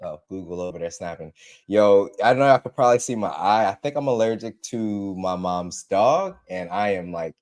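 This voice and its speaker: Chill tech stream host guy, kinda low voice, stuffy nose, says everything twice. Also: animated, moderate pace, darkish tone. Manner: laid-back, repeats phrases, greets viewers by name. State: congested from allergies. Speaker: livestream host. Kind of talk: casual tech livestream chat.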